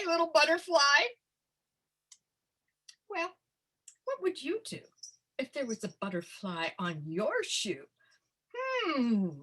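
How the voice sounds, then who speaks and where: A woman presenting a storytelling workshop in an animated, theatrical and encouraging conversational manner. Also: high voice. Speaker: storytelling presenter and early literacy educator. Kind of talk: workshop demonstration with storytime performance.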